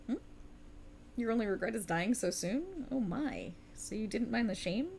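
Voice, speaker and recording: A woman's voice: a little high, lively intonation, moderate pace, gravelly, darkish tone, slight background noise. A woman voicing a sultry, cruel and mocking otherworldly warlock patron in a theatrical ASMR roleplay, teasing and condescending. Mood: mocking, amused.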